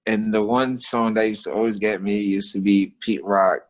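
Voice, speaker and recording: A man's voice: on the low side, moderate pace. Slangy, emphatic American man talking casually. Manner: animated slangy philosophizing, emphatic repetition. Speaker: guest, street artist. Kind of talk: casual conversational talk and storytelling.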